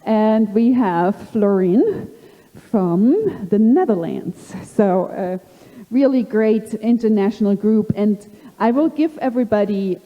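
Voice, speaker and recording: A middle-aged woman with a slight German accent hosting a live panel, halting and full of fillers. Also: medium pitch, lively intonation, dull audio. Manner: halting, many fillers and restarts. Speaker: panel host. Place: conference hall. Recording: long hall reverb.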